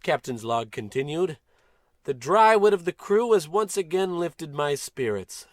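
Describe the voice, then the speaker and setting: A man's voice: on the high side, big pitch swings, a little slow, no room sound, studio quality. A man playing a pompous, blustering space captain in an old-time radio comedy, declaiming with great self-importance. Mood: solemn, grandiose.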